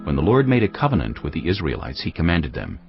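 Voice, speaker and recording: A man narrating dramatised scripture from a script in a grave, measured, dramatic manner. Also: extremely low pitch, wildly swooping pitch, gravelly. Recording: dull audio, music underneath.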